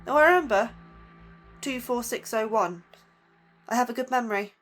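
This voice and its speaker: A woman's voice: fairly high pitch, moderate pace, a bit bright, faint music behind, lightly acted. An actress playing an anxious, frightened female scientist in an audio drama, speaking hesitantly with stammers. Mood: shaken, resigned. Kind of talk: emotional audio drama acting.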